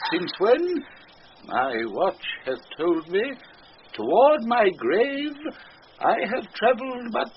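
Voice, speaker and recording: An actor playing a solemn elderly priest, intoning ceremoniously in a Shakespearean radio drama. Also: male voice, very high voice, heavily acted. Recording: dull, faintly noisy radio broadcast.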